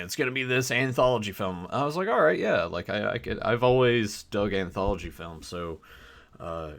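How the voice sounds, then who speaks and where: A man co-hosting a podcast in casual chat, sounding a little stuffy, rambling with plenty of fillers. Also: low voice. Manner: relaxed, rambling with many fillers. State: stuffy from allergies. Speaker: podcast co-host.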